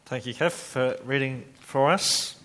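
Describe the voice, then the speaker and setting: Man preaching, earnest and measured. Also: medium pitch. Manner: earnest storytelling with slow, quiet emphasis. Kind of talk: sermon.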